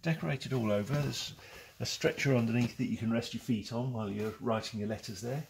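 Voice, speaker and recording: Chatty English auctioneer with a gravelly voice. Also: male voice, medium pitch, fairly quick. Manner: chatty and enthusiastic, chuckling often. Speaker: auctioneer giving a saleroom tour. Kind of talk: casual walk-through commentary. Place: large cluttered indoor auction saleroom. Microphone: handheld camera following him. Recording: noisy saleroom, walking camera mic.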